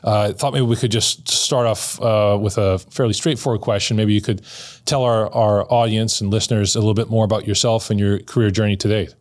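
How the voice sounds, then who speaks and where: Rambling, low-voiced male podcast host. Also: steady tone, fairly quick, few pauses. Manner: friendly, rambling questions with many "uh" and "um". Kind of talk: casual interview hosting.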